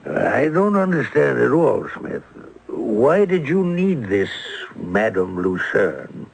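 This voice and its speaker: An actor playing a puzzled, testy accomplice in an old-time radio drama, with a gravelly, husky voice. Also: male voice, mid-range voice, very animated, takes it slowly, exaggerated voice, low-quality recording. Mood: testy, questioning.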